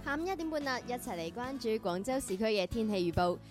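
Highish female Cantonese weather presenter. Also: animated, fast, loud music behind the voice. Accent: Guangzhou Cantonese. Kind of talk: scripted weather bulletin.

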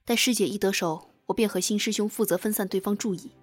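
Actress playing young female assassin, mid-pitched, formal, measured, dutiful, calculating, costume radio drama, music underneath. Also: lightly acted. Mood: earnest. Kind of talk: Chinese costume audio drama acting.

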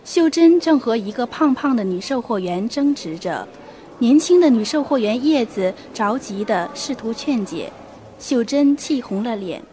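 A woman's voice: fairly high pitch, lively intonation, measured pace, mellow, a little hiss or hum. A woman reading scripted narration for a radio drama as its storyteller.

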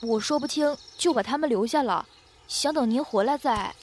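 Woman doing a eager village boy, high boyish voice, costume audio drama, slight outdoor noise. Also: moderate pace, natural voice. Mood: hesitant. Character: friendly, modest country boy living in the woods. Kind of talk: Chinese costume radio drama acting. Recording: faint background noise, outdoor nature ambience.

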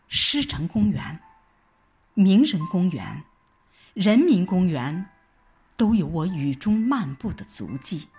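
A woman narrating a scripted first-person story in a measured, expressive storytelling style. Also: on the low side, breathy edge. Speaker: audio drama narrator.

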